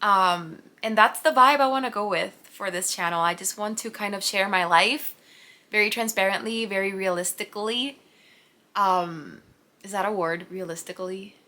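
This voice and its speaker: A woman's voice: mid-range voice, normal speed, sharp tone. A woman in her late twenties from Puerto Rico, a native Spanish speaker, chatting to camera at home in a rambling, giggly way. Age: 20s. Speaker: vlogger. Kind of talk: casual unscripted talk to camera. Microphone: camera mic.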